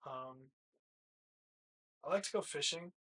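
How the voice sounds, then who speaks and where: A man's voice: a little high, takes it slowly, pushed and bright. A nineteen-year-old man, a college baseball player, answering questions casually as a podcast guest in a small room. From hill country, United States. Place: small plain room, indoors, seated.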